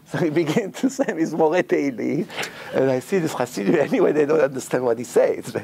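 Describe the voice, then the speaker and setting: A man with an Israeli accent, a rabbi giving a sermon in lively, broken English, acting out the people in his stories. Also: fairly high pitch, brisk, vocal fry, slight background noise. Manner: animated, acts out quotes, non-native English phrasing. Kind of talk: storytelling sermon.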